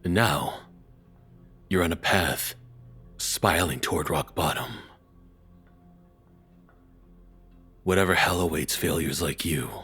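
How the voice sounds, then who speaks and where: A man playing a cruel, controlling ex-boyfriend in an intimate audio roleplay, taunting and belittling slowly in a breathy, husky voice that drops toward whispers. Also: low-pitched, fairly bright tone, faint music behind, heavily acted. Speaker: voice actor.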